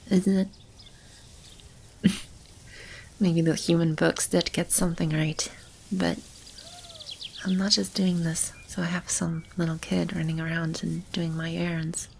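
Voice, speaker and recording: A gentle female demon, acted by a woman, speaks in a lowish, creaky voice with a tender, reassuring manner. It is an intimate, soft-spoken ASMR roleplay, with animal and bird ambience behind her. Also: a little hiss or hum. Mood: earnest, tender. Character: gentle, caring female demon sheltering animals and a lost child. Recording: clearly audible ambient animal and bird sounds.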